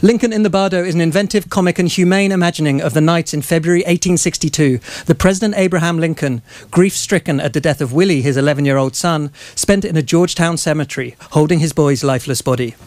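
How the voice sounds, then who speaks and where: A man's voice: fairly high pitch, fast talker, few pauses, darkish tone, no echo. A man in his thirties reading a polished, formal introduction as host of a live author event. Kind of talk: scripted introduction read to an audience. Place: small crowded bookshop, indoors. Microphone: microphone on stand.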